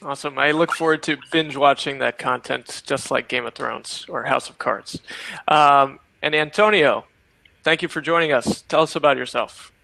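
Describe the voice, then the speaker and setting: Panel host, man, upbeat, steers with questions, bright tense voice, dry close sound. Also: mid-range voice, normal speed, gravelly, dry recording, rough recording. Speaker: panel moderator. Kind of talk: hosting a panel discussion.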